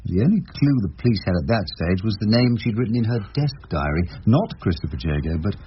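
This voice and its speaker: An actor playing a wry, thoughtful English criminal barrister in a radio drama, speaking drily and deliberately with musing hums. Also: male voice, lowish voice, animated, close to an everyday voice. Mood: measured, probing. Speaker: actor in the lead role and narrator. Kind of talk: radio drama narration and dialogue. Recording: dull, noisy lo-fi radio drama recording.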